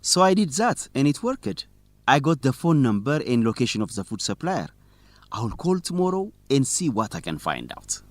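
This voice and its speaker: Guy acting a stubborn young student reporter, radio drama, really animated pitch, dry sound. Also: moderate pace, no room sound, lightly acted. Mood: pleased, explaining. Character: principled young male student journalist, stubborn and cheerful.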